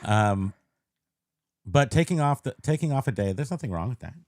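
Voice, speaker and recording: A man's voice: mid-range voice, expressive, normal speed, a little mellow. A man co-hosting a podcast, chatting casually on a live stream in a joking, playful way. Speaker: podcast co-host.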